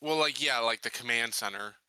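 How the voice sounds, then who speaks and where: A man's voice: medium pitch, a little fast, pushed and bright, clean tone, no room sound, poor audio. A man playing a tabletop game, talking through his choices in an informal, hesitant way. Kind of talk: casual game discussion.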